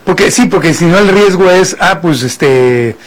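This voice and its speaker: A man hosting a radio talk show, his voice sitting a little higher than most men's. He leads the conversation and keeps it moving with short nods of agreement. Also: animated, unhurried. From Mexico. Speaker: radio host.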